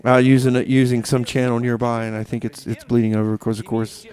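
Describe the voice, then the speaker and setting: A man hosting a radio show with casual, rambling DJ patter in a halting, tongue-in-cheek, mock-official manner. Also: mid-range voice, little pitch movement, darkish tone.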